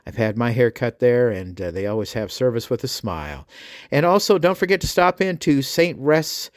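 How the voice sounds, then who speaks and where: A man's voice: medium pitch, expressive, normal speed, no echo, clean studio sound. An American man hosting a podcast in a folksy, chatty way, reading sponsor messages and interviewing guests. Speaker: podcast host. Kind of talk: sponsor reads and conversational interviewing.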